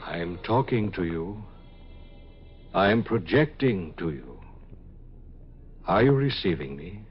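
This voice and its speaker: An actor playing a calm, detached scientist of the far future in a 1950s radio drama, speaking in a measured, clinical way. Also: male voice, low voice, over music, exaggerated voice. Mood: calm, probing. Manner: measured, matter-of-fact, clinical. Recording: muffled 1950s radio recording.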